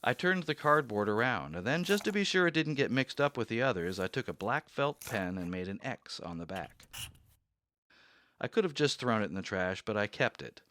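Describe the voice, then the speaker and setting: Man narrating with a lively low voice. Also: moderate pace. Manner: anxious, increasingly obsessive storytelling. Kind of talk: first-person narration in a radio drama.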